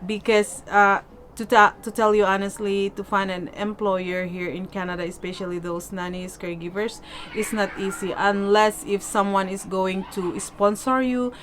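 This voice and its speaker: A woman's voice: mid-range voice, little pitch movement, moderate pace, a bit bright. A woman with a Filipino accent chatting casually as a vlogger in non-native English, emphatic and repetitive, often checking in with "okay?". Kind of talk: casual question-and-answer vlog chat. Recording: noticeable background noise.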